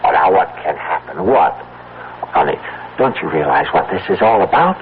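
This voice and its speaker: An actor playing an excitable, stubborn husband in an old-time radio drama, urgent and halting, with an insistent, pleading way of talking. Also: male voice, low voice. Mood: pleading, excited. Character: excitable, stubborn husband obsessed with mysterious miracle pills. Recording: tinny, band-limited old radio recording, faint hiss.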